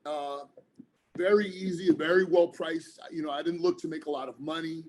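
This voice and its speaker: A man, speaking a touch higher than most men. He talks earnestly and at length, with plenty of fillers. He sounds like a guest in a casual podcast chat. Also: steady tone, moderate pace, a little mellow, a little hiss or hum. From New Jersey, United States. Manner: earnest, rambling, frequent fillers. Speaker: author guest, former police officer.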